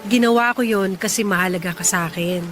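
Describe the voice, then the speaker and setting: A woman's voice: medium pitch, brisk, music in the background, close to an everyday voice. An actress playing a teasing, loyal single woman bound for work abroad, in a Tagalog radio drama. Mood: grateful, tender.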